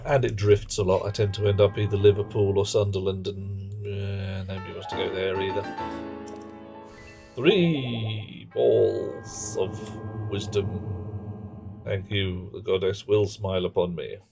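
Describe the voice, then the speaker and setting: A man's voice: sits low, fairly even intonation, measured pace, vocal fry. A man streaming a game live, chatting wryly with viewers in a dry, muttering way. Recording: game stream with background music.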